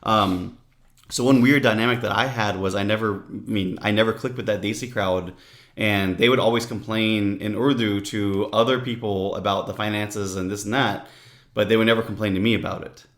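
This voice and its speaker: A low-voiced man speaks as a podcast guest in a casual chat. He talks haltingly, repeats words and restarts sentences, and adds "if you will" now and then. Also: steady tone, a bit bright.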